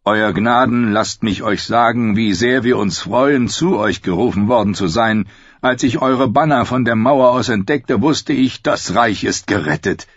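A man voicing a fawning, nervous lord who flatters a king, in a slightly dull audiobook recording. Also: fairly low pitch, measured pace, breathy edge, no echo, pitched above the performer's own voice. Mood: flattering. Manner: obsequious, eager.

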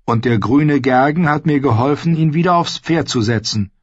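A man acts out a shy young noble squire in an audiobook, with a raised, slightly husky voice. He speaks politely and solemnly, a little hesitant. The recording sounds dull. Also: on the high side, normal speed, no room sound, pitched above the performer's own voice, lightly acted. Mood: modest. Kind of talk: audiobook character voice.

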